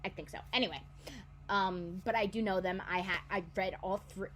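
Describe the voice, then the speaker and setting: A woman's voice: medium pitch, fairly even intonation, moderate pace, a bit bright, slight background noise. Rambling young woman chatting about books. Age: 20s. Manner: rambling, self-correcting. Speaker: book vlogger. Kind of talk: casual chat.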